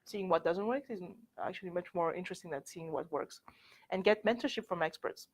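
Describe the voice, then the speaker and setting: A young woman with a French accent speaks to a room of students in a loose, candid way, leaning on "like" and "actually" as she goes. Her voice sits a little low, and she sometimes drifts away from the mic. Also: a little fast. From France. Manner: chatty, candid, filler-heavy with frequent "like" and "actually". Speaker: workshop speaker. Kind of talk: informal presentation to students. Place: university lecture room.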